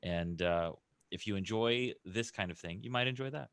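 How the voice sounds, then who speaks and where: Very deep-voiced woman, dry sound. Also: normal speed, smooth, no echo. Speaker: roleplaying show performer. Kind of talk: casual chat plugging projects.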